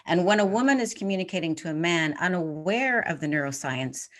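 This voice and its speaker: A middle-aged woman with a low voice for a woman, answering interview questions as a communication coach in an articulate, confident way. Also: expressive, fairly quick. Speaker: podcast guest, communication coach.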